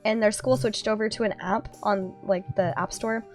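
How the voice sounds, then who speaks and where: A woman's voice: mid-range voice, steady tone, moderate pace, faint music behind. A young woman with a cold, sounding nasal and giggly as she co-hosts a casual gaming podcast, full of fillers. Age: young adult. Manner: giggly, chatty, many fillers. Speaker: podcast co-host.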